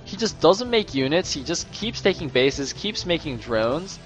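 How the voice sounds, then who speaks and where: Young male caster, hoarse and slightly sick, chatty solo commentary with music underneath. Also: on the high side, a little slow, dull audio. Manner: chatty, rambling asides, excitable bursts. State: losing his voice, a little sick. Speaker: esports caster.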